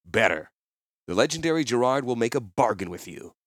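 A man's voice: medium pitch, lively intonation, fairly quick, a bit bright, no echo, studio quality, pitched above the performer's own voice. A man voicing a swaggering, defiant warrior hero who speaks in a commanding way in a fantasy audiobook. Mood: confident. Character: boastful, defiant legendary male warrior hero.

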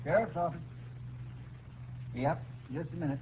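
An actor playing a folksy, plainspoken and skeptical small-town sheriff in an old radio crime drama. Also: male voice, on the high side, unhurried, lightly acted. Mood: musing. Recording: tinny, noisy old radio recording.

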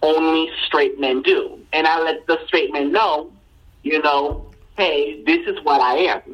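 A woman in casual conversation as a guest, who repeats her phrases and fills pauses with "you know.". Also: deep voice, muffled recording.

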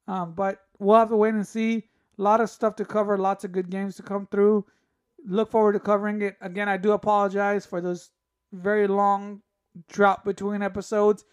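A man hosting a solo sports podcast, talking casually and giving opinions, his voice raspy from a cough. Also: high voice. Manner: casual, opinionated, thinking aloud with fillers. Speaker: podcast host.

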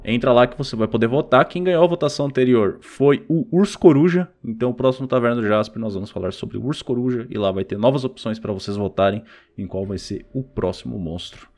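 A man's voice: mid-range voice, quick pace, darkish tone. A man with a Brazilian accent hosting a podcast in an informal, slangy, conversational way. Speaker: podcast host. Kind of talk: casual chat.

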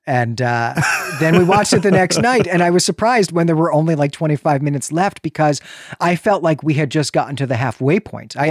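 A man's voice: medium pitch, dry recording, studio quality. A man co-hosting a podcast, talking about film in a conversational, analytical way. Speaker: podcast co-host. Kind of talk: casual film discussion.

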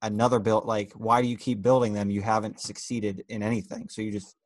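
A man's voice: lowish voice, little pitch movement, fairly quick. A man co-hosting a casual podcast debate in a blunt, argumentative way. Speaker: podcast co-host.

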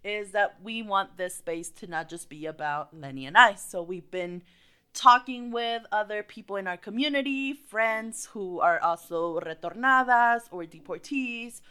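A woman co-hosts a podcast in easygoing conversation, her English laced with Spanish and frequent fillers. Her voice is bright and smooth, sitting mid-range for a woman. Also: mid-range voice, measured pace. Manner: chatty, code-switching, lots of fillers like "like" and "so". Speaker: podcast co-host. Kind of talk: casual podcast chat.

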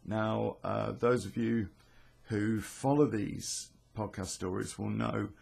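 A British man with a hoarse, croaky voice, hosting a podcast in a rambling, self-deprecating, sweary way. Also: lowish voice, steady tone, slow, a little mellow. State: hoarse from illness. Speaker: podcast host and storyteller. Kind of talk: casual chatty intro to a podcast.

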